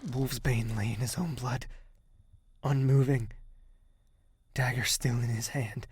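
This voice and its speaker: A man's voice: mid-range voice, fairly even intonation, slow pace, noisy background, close to an everyday voice. An actor playing a battle-weary, tender hero who narrates his story in an audio drama. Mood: grim, shaken. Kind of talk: first-person audio drama narration and dialogue.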